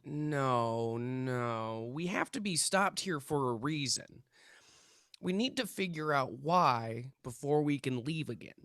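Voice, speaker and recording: A man's voice: mid-range voice, slow, a bit bright, no room sound, clean studio sound. A man playing an intense, earnest young amateur paranormal investigator in a script read, self-important and conspiratorial. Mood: insistent, solemn. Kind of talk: table read of a TV pilot script.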